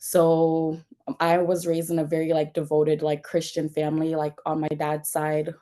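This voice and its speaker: A woman's voice: sits low, monotone, moderate pace, mellow. A young woman from Jamaica, a nonprofit founder, telling her story in a casual interview with many fillers and restarts.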